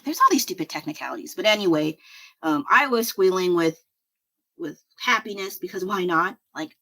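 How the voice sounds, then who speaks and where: A woman with a low voice, chatting casually at her home desk while drawing on a livestream, rambling and poking fun at herself with frequent laughs. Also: pushed and bright. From United States. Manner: rambling, self-deprecating, laughs often. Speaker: livestreaming artist.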